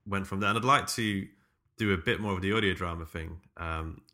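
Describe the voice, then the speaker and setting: A man's voice: deep voice, a little fast, sharp tone. A man hosting a podcast, chatting casually about his own work. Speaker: podcast host. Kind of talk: casual discussion.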